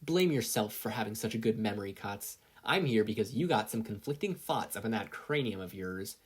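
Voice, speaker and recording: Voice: medium pitch, expressive, fairly quick, breathy edge. A reader voicing a smart-aleck inner conscience in a story, teasing and persistently logical.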